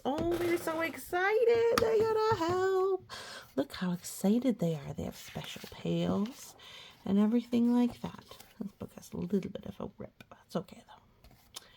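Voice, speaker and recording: Çocuk kitabı okuyan, ağır tempolu bir kadın. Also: female voice, mid-range voice, vocal fry, darkish tone. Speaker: read-aloud storyteller. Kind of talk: reading a children's picture book aloud with chatty asides.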